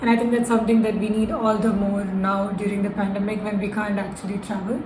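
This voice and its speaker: Female student, young adult, prepared self-introduction, roomy echo and clear background noise. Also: mid-range voice, flat delivery, quick pace. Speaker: university student, columnist nominee. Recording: echoey room with noticeable noise.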